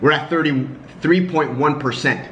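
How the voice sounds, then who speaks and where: A man's voice: a little high, a little slow, a bit bright, highs cut off. A man speaking as a panelist in a casual discussion, thinking aloud and checking in with "right?" after his points. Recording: echoey with faint noise.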